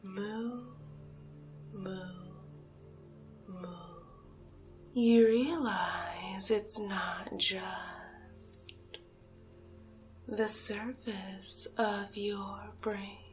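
A woman performing a whispered ASMR hypnosis roleplay as a haughty, mesmerizing alien cow, breathy and drawn-out with long pauses. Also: medium pitch, fairly even intonation, soft background music. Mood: hypnotic, soothing.

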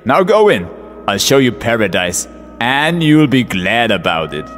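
Kindly old gatekeeper saint in a storybook reading, played by the narrator, welcoming and warm-spoken. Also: male voice, mid-range voice, big pitch swings, unhurried, big-room sound, soft background music.

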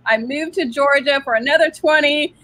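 A woman's voice: sits high, moderate pace, sharp tone, low-quality recording. A woman in her forties from San Diego, a bubbly, giggly interview guest speaking over a webcam from a home office. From San Diego, USA. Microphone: webcam on video call.

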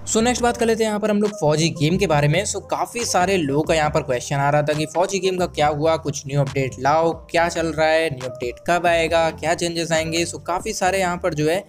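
A man's voice: fairly high pitch, brisk, hardly any pauses. A man from India with an Indian accent hosts a casual gaming news voice-over, mixing in English words as he goes. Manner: code-switches between Hindi and English. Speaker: gaming channel host. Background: background music.

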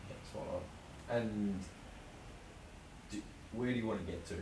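A man co-hosts a casual podcast interview, asking quick, upbeat questions. His voice is low. The mic is distant in a roomy space with noise underneath. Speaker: podcast co-host. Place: small podcast lounge room, indoors. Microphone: studio desk mic with headphones. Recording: roomy, distant-miked, noisy room.

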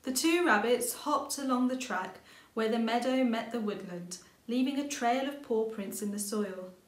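A woman's voice: on the high side, moderate pace. A middle-aged woman, a children's author, reading a storybook aloud as scripted narration indoors. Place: indoors, small room with bookshelves. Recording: echoey room sound.

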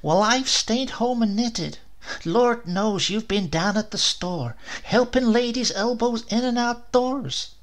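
Man putting on a shrill scolding wife. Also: expressive, slow pace. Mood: bitter. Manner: snapping, nagging. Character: scolding, exasperated wife, sharp-tongued and practical.

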